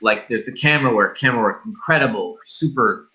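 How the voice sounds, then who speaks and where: Bright-voiced man in casual film chat. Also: mid-range voice, brisk, lo-fi sound. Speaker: film review show co-host.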